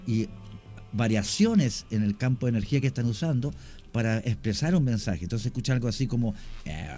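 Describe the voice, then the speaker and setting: Chilean guy telling ghost stories on the radio, kinda halting, warm mellow voice, soft music under it. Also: mid-range voice. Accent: Chilean Spanish. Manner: storytelling, animated with hesitations. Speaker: guest, cemetery tour guide and actor. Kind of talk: casual radio conversation. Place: radio studio.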